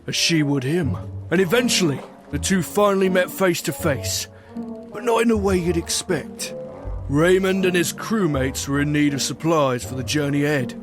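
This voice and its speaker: A man with a fairly high voice tells a scripted adventure tale. His delivery is dramatic storytelling, set over clearly audible music.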